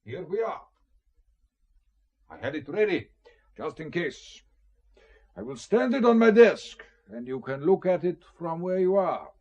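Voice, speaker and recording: An actor playing a suave, menacing Italian count and shady art dealer in a spy thriller audio drama, urbane, deliberate and guarded. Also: male voice, high voice, very animated, a little mellow, dull audio, heavily acted. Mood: sly.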